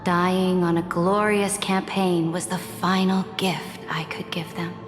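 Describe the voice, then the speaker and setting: An actress playing a cold, commanding, ruthless empress in a video game, with an imperious, measured and solemn delivery. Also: female voice, fairly low pitch, flat delivery, natural voice. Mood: solemn, resolute. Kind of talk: video game drama dialogue. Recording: echoey reverberant hall, music behind.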